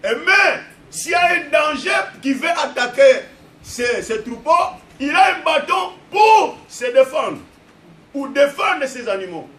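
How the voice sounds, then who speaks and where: A man delivers an impassioned sermon, his voice sitting extremely high for a man. He shouts and hammers phrases over and over. The sound is echoey and distant, with noise underneath. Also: very animated, a little slow. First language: Portuguese. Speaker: pastor. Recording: echoey room, distant mic, background noise.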